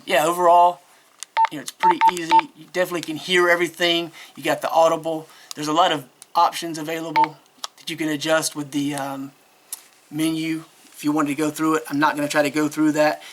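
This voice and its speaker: A man with a fairly high-pitched voice reviews a product off the cuff. His intonation stays quite flat, and he rambles along hesitantly, correcting himself as he goes. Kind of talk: casual unscripted product review.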